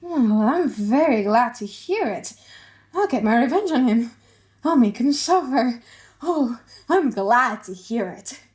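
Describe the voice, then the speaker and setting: A woman voicing a bitter, excitable, vengeful moneylender in an audiobook play, exclaiming, gasping and wailing theatrically. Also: a little high, unhurried. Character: vengeful moneylender father, excitable, bitter, gleeful at rival's ruin.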